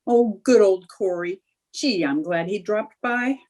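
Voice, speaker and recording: A woman playing an eager, scheming, chatty young housewife in an old-time radio comedy, with a husky voice. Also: mid-range voice, a little slow, darkish tone, natural voice. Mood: airy. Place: indoors, remote video call.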